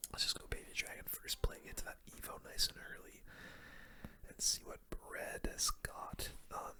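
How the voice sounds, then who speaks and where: ASMR game commentator: constant unvoiced whisper, sharp airy sibilance, casual slangy play-by-play, close-mic. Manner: soft-spoken, casual, gamer slang. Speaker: gaming video creator, commentator.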